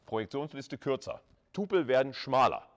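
A man's voice: medium pitch, lively intonation, normal speed, highs cut off. Informal man lecturing to students. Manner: informal, conversational, with rhetorical questions. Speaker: university lecturer. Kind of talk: live lecture explanation, addressing students. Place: classroom with chalkboard.

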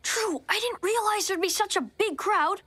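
A man's voice: extremely high pitch, normal speed, sharp tone. An actor voicing a nerdy young boy who makes potions and talks science, wordy and a bit nervous. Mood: earnest. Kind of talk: cartoon voice acting. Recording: music behind.

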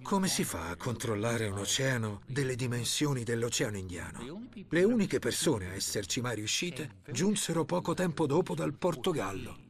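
A man's voice: medium pitch, very animated, moderate pace. A man voicing an expert interviewee in a documentary dub.

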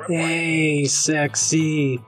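Man acting a very slow, leering drunk. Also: fairly high pitch, level tone, fairly bright tone, faint music behind. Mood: lecherous. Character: leering, sleazy drunk man in police custody.

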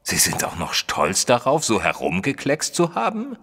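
A man playing a proper, opinionated Victorian gentleman who tells his story in a German audio drama, measured and expressive. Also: mid-range voice, slightly rough, full character voice. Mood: indignant. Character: proper Victorian gentleman narrator, opinionated, easily shocked companion. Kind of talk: dramatised first-person audiobook narration in German.

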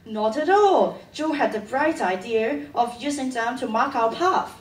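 A woman's voice: a little high, animated, measured pace. A teenage girl acting a sensible, reassuring schoolgirl explorer in a radio drama. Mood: steady, concerned. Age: teens. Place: stage of a studio theatre. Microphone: far camera. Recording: echoey theatre, distant microphone.

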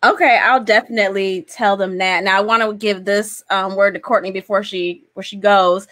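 A woman in her forties with a bright, forceful voice at a middle pitch talks casually as a guest on a video-call show. She is encouraging and sounds dry, close on a desk mic. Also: steady tone, normal speed, dry recording. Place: small indoor room on a video call. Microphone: large desk microphone, close.

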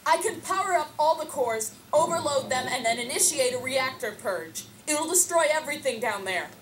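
Resolute young woman survivor, high voice, determined, loud noisy game audio. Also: normal speed. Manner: determined, technical, steady.

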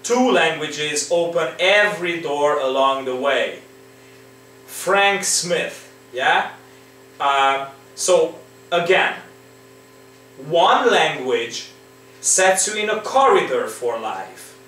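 A man teaches an English exam lesson from his living room, slowly coaxing his students along. His voice sits a little high and he keeps checking in with them. The sound rings through an echoey room, picked up from a distance with faint background noise. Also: lively intonation, pushed and bright. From Romania. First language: Hungarian. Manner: slow, coaxing, repeats with rhetorical "right?". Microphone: laptop or camera in front.

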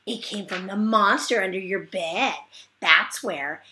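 A woman's voice: mid-range voice, measured pace, bright, forceful tone, slight background noise. A woman voicing a smug little boy who teases and tries to scare a classmate in a know-it-all way. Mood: teasing.

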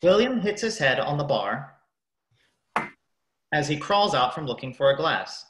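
A woman's voice: low voice, big pitch swings, measured pace, reverberant, noisy background. A woman narrating a radio play, reading out scripted stage directions.

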